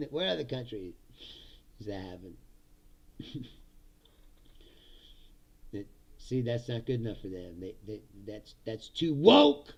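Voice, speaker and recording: A man's voice: mid-range voice, sing-song, takes it slowly, gravelly, long pauses. A middle-aged man from Indiana chatting casually on a livestream, laughing often and slipping into mock voices.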